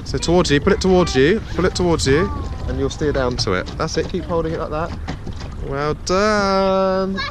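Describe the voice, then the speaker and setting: A man's voice: on the high side, moderate pace. A man teaching his young children to sail a small dinghy, patient and encouraging, full of praise. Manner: gentle, encouraging, lots of praise. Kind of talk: patient coaching and casual chat. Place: small sailing dinghy on a lake, outdoors. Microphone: boat-mounted action camera. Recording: outdoor wind and water noise.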